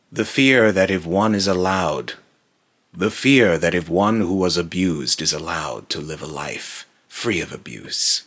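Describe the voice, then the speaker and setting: A man voicing a brooding otherworldly being in a slow, halting, grave monologue, raspy and breathy, warning darkly about mortals. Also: deep voice, pushed and bright, full character voice. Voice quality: raspy, breathy, lapsing into harsh whisper. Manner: slow, halting, repeats phrases, ominous and grave. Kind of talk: dark dramatic monologue.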